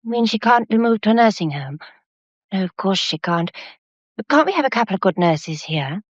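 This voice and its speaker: An actress in a radio drama playing a concerned, well-mannered daughter of the house, speaking earnestly and politely. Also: female voice, mid-range voice, expressive, a little fast, slightly rough, no room sound. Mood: worried. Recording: slightly dull, dry studio sound.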